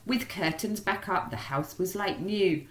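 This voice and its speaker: A woman reading a rhyming children's story as storyteller, in an animated, theatrical way. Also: mid-range voice, unhurried. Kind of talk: expressive rhyming storybook narration. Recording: echoey room.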